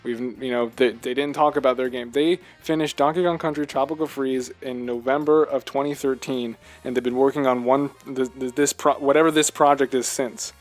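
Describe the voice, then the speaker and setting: A man's voice: medium pitch, steady tone, brisk, hardly any pauses, faint music behind. A man co-hosting a casual video game discussion, talking in an earnest, emphatic way and often asking rhetorical questions. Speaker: podcast co-host.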